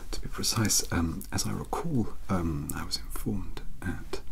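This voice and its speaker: A man playing a young, bookish, awkward English mentor in a soft-spoken ASMR roleplay, hesitant, polite and rambling. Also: deep voice, unhurried, creaky, voice pushed up. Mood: earnest, hesitant.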